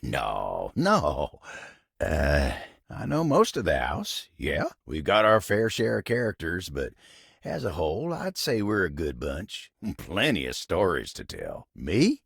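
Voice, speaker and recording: A man voicing a humble, hardworking living table in a video game, with a gravelly, husky Southern American drawl and a folksy manner. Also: deep voice, wildly swooping pitch, takes it slowly, fairly bright tone, dry recording, studio quality, exaggerated voice. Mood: friendly, modest. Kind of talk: video game character voice acting.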